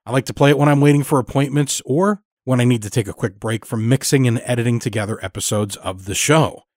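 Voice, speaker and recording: A man's voice: mid-range voice, quick pace, darkish tone, dry recording, clean studio sound. A man hosting a podcast, reading scripted narration and announcements. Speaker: podcast host.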